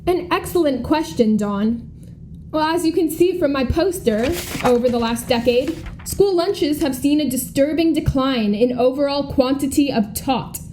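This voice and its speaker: A woman's voice: high voice, animated, normal speed, a little mellow, a little hiss or hum. A young American woman playing an earnest, polished schoolgirl running for student president, speaking like a formal politician in a comedy radio play. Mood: righteous. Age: young adult. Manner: formal, rhetorical politician delivery.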